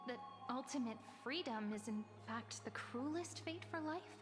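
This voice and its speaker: An actress playing a small, polite, earnest young girl adventurer in a video game, gentle and inquisitive in manner. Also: fairly high pitch, lively intonation, unhurried. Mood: thoughtful, probing. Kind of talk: scripted video game voice acting. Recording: reverberant hall, game music behind.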